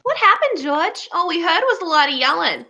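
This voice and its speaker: A woman's voice: very high-pitched, big pitch swings, normal speed, sharp tone, full character voice. A woman playing an anxious female office worker in a live radio play reading. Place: remote online reading from home. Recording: dull, lo-fi connection.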